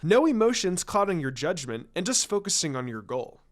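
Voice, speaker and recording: A man narrates fiction aloud in an expressive storytelling style. His voice sits a little high for a man and moves with animated intonation. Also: moderate pace. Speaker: story reader.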